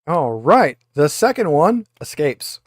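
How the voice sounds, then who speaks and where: A man acting as game master, narrating a tabletop game off the cuff in halting, improvised phrasing. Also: a little high, big pitch swings, slightly rough, no room sound, clean studio sound.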